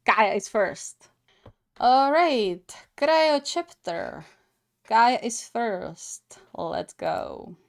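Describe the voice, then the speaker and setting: A woman giving casual, chatty reaction commentary as the host of a gaming channel, often giggly and quick to add disclaimers. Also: a little high, big pitch swings.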